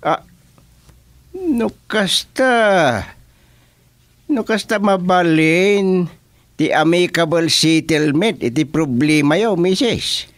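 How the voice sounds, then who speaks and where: Radio comedy role: hesitant, stammering Ilocano village chairman, higher-set male voice, unhurried delivery. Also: expressive. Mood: flustered, awkward. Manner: halting, stammering exclamations. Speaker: actor in a radio comedy serial. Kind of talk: Filipino radio comedy drama acting.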